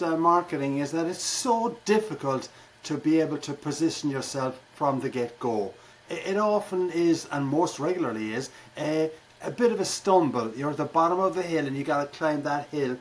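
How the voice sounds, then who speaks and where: A man's voice: fairly high pitch, animated, fairly quick. A middle-aged or older man with an Irish accent, a keynote speaker answering conversationally in an interview with wry, jokey turns of phrase. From Ireland.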